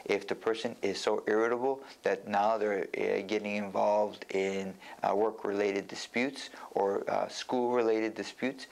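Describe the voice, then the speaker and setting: Low, gravelly-voiced man presenting. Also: fairly even intonation, a little slow, hardly any pauses. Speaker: medical director and doctor presenting. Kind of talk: educational presentation on mental health.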